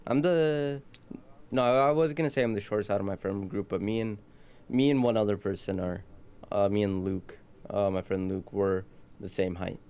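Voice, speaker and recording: A man's voice: on the low side, fairly even intonation, normal speed, a little mellow, clean tone. An eighteen-year-old man co-hosting a podcast, chatting casually and rambling with lots of fillers and restarts. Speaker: podcast co-host. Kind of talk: casual chat.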